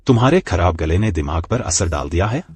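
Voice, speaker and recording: An actor playing a calm, confident young man who exposes a fraud, speaking in a cutting, challenging way in a dubbed drama. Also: low-pitched, fairly quick. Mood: scornful. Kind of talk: Hindi dub of a short drama. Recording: faint music behind.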